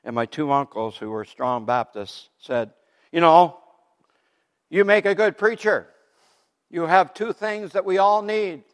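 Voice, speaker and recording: An older man telling his faith story from the pulpit in a loud, lively way, laughing between points. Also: fairly high pitch, unhurried. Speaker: guest speaker giving testimony. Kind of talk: testimony sermon. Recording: long hall reverb.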